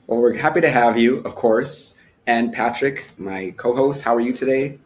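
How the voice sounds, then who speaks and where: A man hosting an interview podcast, asking questions in a friendly, measured way. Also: mid-range voice, steady tone, slight background noise. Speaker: podcast host.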